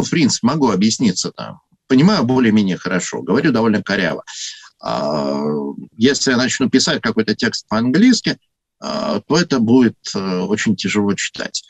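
A man with a husky voice giving an unscripted talk on church history, thoughtful and full of hesitations. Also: lowish voice, big pitch swings, moderate pace, dry recording.

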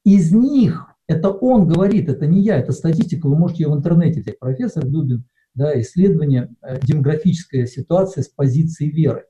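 A middle-aged man from Russia preaches in a relaxed, conversational way, pausing often with hesitant fillers. His voice sits a little high and sounds very mellow and dark. The recording is dull and lo-fi. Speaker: pastor. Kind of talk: sermon.

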